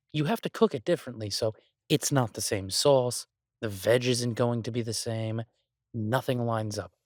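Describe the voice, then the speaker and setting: Exasperated chef boyfriend, male actor, mid-range, grumbling and halting, intimate roleplay monologue, very dry recording. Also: medium pitch, a little mellow, no echo, close to an everyday voice. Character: exasperated restaurant chef, affectionate boyfriend venting to partner. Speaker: audio roleplay performer.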